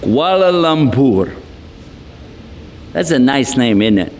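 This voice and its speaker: A man's voice: mid-range voice, big pitch swings, slow, darkish tone, slight background noise. Folksy Texan man lecturing in a hall. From Texas. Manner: folksy, joking, storytelling with asides. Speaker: Islamic lecturer. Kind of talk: humorous public lecture. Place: large multipurpose hall. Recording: reverberant hall from a distance.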